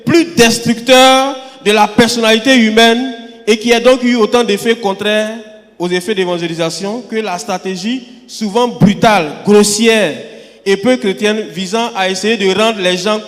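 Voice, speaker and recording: Male preacher, high-pitched and emphatic, restarts phrases mid-sentence; recorded with a long hall echo. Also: steady tone, unhurried. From Côte d'Ivoire. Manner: emphatic, repeats words and restarts often. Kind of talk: sermon. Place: church sanctuary.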